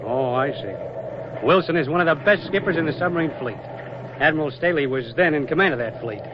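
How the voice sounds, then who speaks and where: An actor playing a brisk, dutiful Navy lieutenant in a 1940s radio drama, speaking crisply and deferentially. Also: male voice, a little high, animated, natural voice. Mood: earnest, explanatory. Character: brisk, dutiful young male Navy lieutenant, admiral's aide. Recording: dull, noisy 1940s radio recording.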